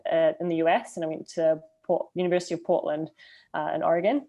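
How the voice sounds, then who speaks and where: A woman's voice: deep voice, steady tone, quick pace, dark tone. A young adult woman with a New Zealand accent, chatting casually as an interview guest, with frequent ums and uhs. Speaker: guest, trail runner.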